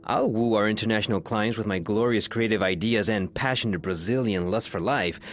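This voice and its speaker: An actor playing a flamboyant, grandiose Brazilian creative director in a comedy audio drama, pompous and theatrical. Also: male voice, fairly low pitch, a little fast, a little hiss or hum, close to an everyday voice. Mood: gleeful, grand.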